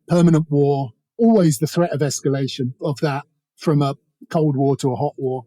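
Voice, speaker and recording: British man, higher-pitched, dark-toned voice. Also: no room sound. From Britain. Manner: discursive, hedging with many asides. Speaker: author, interview guest. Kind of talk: conversational political commentary.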